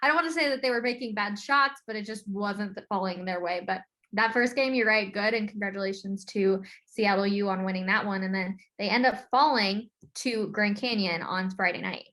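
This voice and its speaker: A woman with a medium-pitched voice hosts a sports discussion. She speaks casually and informally, like a regular conversation, while guiding the recap from one point to the next. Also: normal speed, hardly any pauses, fairly bright tone. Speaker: sports podcast host steering the discussion.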